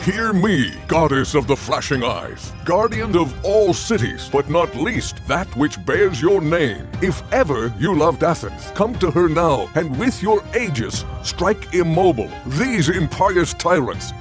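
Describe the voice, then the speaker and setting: A man's voice: fairly high pitch, sing-song, measured pace, few pauses, over music. A man playing a fervent Greek priest, declaiming solemn prayers. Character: fervent Greek priest praying to a war goddess.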